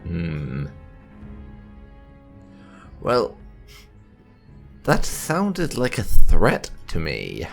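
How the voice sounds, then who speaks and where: A man's voice: low voice, huge pitch swings, drawn-out words, creaky, long pauses, soft background music. A man doing roleplay commentary over a video game, musing and replying in character. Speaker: gaming YouTuber playing in character. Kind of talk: roleplay let's play commentary.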